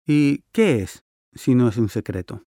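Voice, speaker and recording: A man's voice: medium pitch, animated, a little slow, dark tone, no room sound, studio quality. A man playing a friendly interviewer in a scripted listening-test dialogue, asking polite, curious questions. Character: friendly adult male interviewer.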